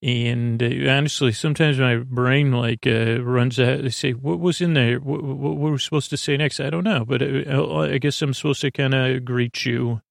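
A man with a creaky voice hosting a bedtime podcast in a soothing, meandering monologue that halts and loses its thread. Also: mid-range voice, steady tone, no room sound, studio quality. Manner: meandering, halting, losing his thread; soothing, lulling. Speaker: podcast host.

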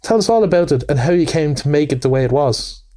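A man reading aloud voices a cheery, bustling male water rat who talks in a brisk, hearty, encouraging way. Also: fairly high pitch, expressive, dark tone, lightly acted. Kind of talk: storybook reading.

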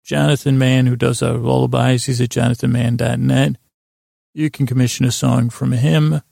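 A man hosting a bedtime podcast, rambling in a halting, meandering way with a gravelly, creaky voice. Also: mid-range voice, level tone, warm tone, dry recording, studio quality. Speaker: podcast host. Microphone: close podcast microphone.

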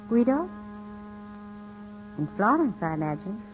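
An actress playing an elegant, composed widow, gently sorrowful and guarded, speaking with measured poise in 1950s radio drama style. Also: female voice, medium pitch, sing-song, music in the background. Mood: wounded dignity. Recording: dull, muffled 1950s radio broadcast.

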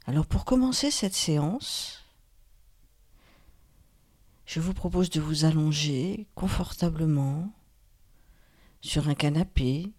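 A woman guides a relaxation meditation in a calm, soothing manner, leaving long pauses. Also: low-pitched. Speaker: yoga nidra guide, host.

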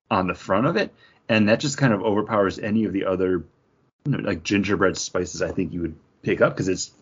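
A man's voice: lowish voice, slightly rough. A man chatting casually as a podcast host, giving his take on a drink. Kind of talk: casual chat, beer review. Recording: slightly dull, lo-fi recording.

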